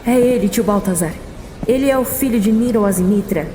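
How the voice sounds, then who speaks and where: A woman portrays a thoughtful tiefling woman, niece of the clan's leader, in a fantasy audio drama. She speaks politely and gently at a middling pitch. Music plays clearly behind her. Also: brisk, close to an everyday voice, low-quality recording. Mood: earnest.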